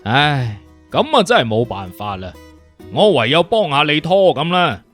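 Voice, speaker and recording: A man acts out a smug, bossy male detective. His voice sits fairly high and swings around with slangy swagger, over light background music in an audiobook. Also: very animated, moderate pace, a bit bright. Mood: mocking, impatient. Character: cocky, teasing male detective consultant, clever and bossy.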